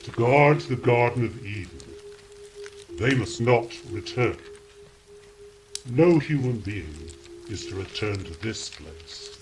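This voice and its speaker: An actor playing a solemn, literal-minded cherub guard with a stately, formal manner in a stage drama. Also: male voice, lowish voice, expressive, slow, long pauses, over music. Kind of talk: theatrical stage drama acting.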